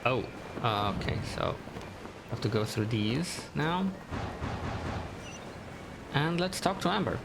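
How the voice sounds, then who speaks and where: A man's voice: medium pitch, expressive, slow, vocal fry, long pauses, noisy background. A man giving casual, laid-back gameplay commentary, muttering to himself as he plays. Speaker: gamer. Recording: game music behind voice. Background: game music.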